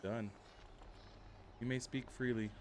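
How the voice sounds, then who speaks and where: A man's voice: medium pitch, takes it slowly. A man streaming a video game, casually chatting and giving short voice commands. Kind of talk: casual live gaming commentary and voice commands. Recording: steady background noise.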